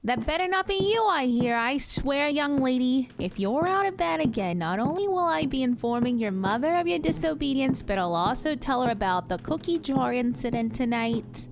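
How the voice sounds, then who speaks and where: A woman's voice: high-pitched, expressive, normal speed, vocal fry, few pauses, noisy background. A woman playing a night nurse, scolding yet caring, in a horror audio drama. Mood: wary, scolding. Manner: stern scolding turning soft. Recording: loud music behind the voice.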